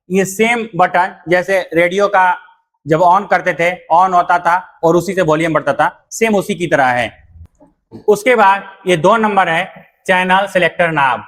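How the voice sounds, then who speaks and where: A man's voice: high-pitched, measured pace, a bit bright. A man explaining things step by step as an instructor, repeating points plainly. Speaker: instructor and channel host. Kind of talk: explanatory tutorial addressed to viewers.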